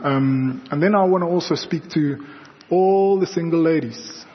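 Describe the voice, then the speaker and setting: A man's voice: fairly high pitch, a little slow, slight background noise. A young South African man, native Afrikaans speaker, preaching a sermon in a large church and telling personal stories in a conversational way with fillers. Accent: South African English. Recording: dull sound with long hall reverb.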